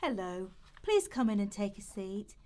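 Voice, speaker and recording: A woman's voice: medium pitch, big pitch swings, moderate pace, mellow, lightly acted. A woman playing a kindly, pious food bank volunteer in a radio play, soothing and genteel. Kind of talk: amateur radio play rehearsal.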